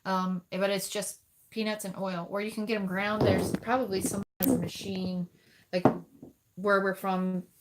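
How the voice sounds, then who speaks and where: A woman's voice: medium pitch, steady tone, moderate pace, heavy background noise. A woman with a nasal voice chatting casually on a livestream, showing food products. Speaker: co-host of a livestream.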